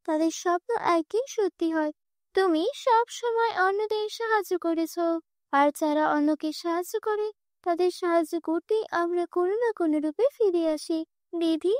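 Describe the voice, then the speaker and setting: A woman voicing a kind female fairy in a cartoon story, with a gentle, reassuring manner. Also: very high-pitched, no room sound, clean studio sound.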